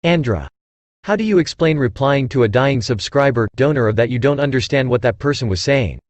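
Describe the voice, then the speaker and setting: Male narrator, mid-range pitch, dry sound, reproachful scripted accusations. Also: darkish tone, dry recording. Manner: reproachful, rhetorical.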